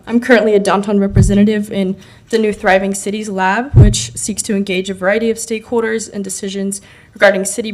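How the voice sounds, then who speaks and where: A woman applying to a city board gives a prepared, earnest speech, her voice slightly raspy from something in her throat. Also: mid-range voice, a little mellow. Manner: earnest, formal, slightly nervous fillers. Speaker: board applicant giving public remarks. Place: council chamber.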